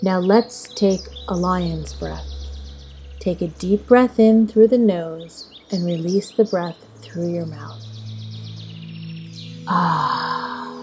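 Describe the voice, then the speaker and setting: A woman leading a guided meditation as an instructor, speaking in a measured, soothing way with long pauses. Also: lowish voice. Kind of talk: slow guided meditation narration. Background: music and birdsong.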